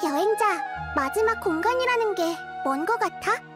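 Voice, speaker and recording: Childlike girl deity for a game: very high voice, calm, measured, wise, music behind. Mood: thoughtful. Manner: measured, explanatory. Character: small young goddess girl, calm, wise, thoughtful. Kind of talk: Korean game voice acting.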